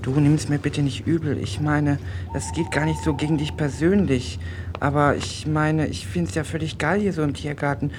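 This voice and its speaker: A man's voice: steady tone, moderate pace, close to an everyday voice. Man playing a naive, rambling teenage boy, noisy recording. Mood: earnest, naive. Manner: rambling, apologetic, earnest. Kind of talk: German radio play dialogue. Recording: noisy, rumbling radio recording.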